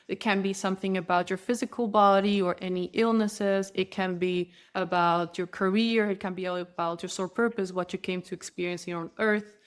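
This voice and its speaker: Brief: female interview guest, Spanish native speaker, mid-pitched voice, earnest and flowing, restarts her phrases. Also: smooth. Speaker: spiritual guide, interview guest. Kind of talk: podcast interview.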